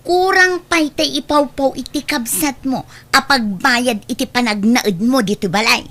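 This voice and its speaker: Woman acting sharp, high, snooty Ilocano cousin. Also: a little slow. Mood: haughty, spiteful. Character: snooty, spiteful young female cousin. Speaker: voice actor. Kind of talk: Ilocano radio-style drama.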